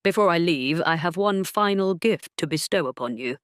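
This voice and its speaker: A self-assured nobleman played by a woman narrator with a lowered pitch and a dry, close sound. He speaks formally, with playful, teasing authority, as the duke in a romance audio drama. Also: lively intonation, normal speed, dry recording, close to an everyday voice. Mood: composed, commanding.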